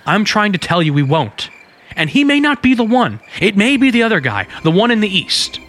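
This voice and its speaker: An American actor playing an earnest young undercover detective, courteous but insistent, in a radio drama. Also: male voice, high voice, sing-song, normal speed, fairly bright tone. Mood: reassuring. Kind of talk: old-time radio drama acting.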